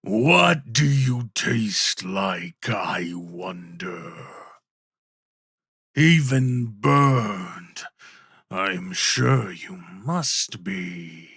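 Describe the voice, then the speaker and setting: A man voicing a huge, stoic infernal wolf in an ASMR roleplay, speaking in a deliberate, formal and teasing way. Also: sits low, lively intonation, a bit bright, breathy edge, dry recording, clean studio sound, voice pushed down, heavily acted. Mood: smirking, reassuring. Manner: slow, deliberate, formal speech. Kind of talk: ASMR roleplay character acting.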